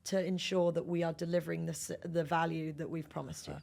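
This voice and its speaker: Woman, low pitch, creaky fry, emphatic, conversational interview guest, explanatory. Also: flat delivery, quick pace, darkish tone. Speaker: sales executive, podcast guest.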